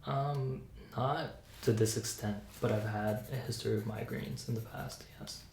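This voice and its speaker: A soft-spoken young adult playing a patient in an ASMR roleplay, giving hesitant, understated answers. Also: mid-range voice, steady tone, normal speed, creaky. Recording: echoey room, faint hiss.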